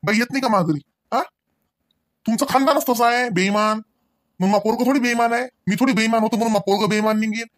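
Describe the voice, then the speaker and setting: A man's voice: high-pitched, quick pace, dry recording, lightly acted. A man playing a cranky older village husband in a Marathi comedy cartoon, with a rural Maharashtrian accent, hectoring his wife with rhetorical questions. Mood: indignant, lecturing. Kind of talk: comic cartoon dialogue, domestic argument.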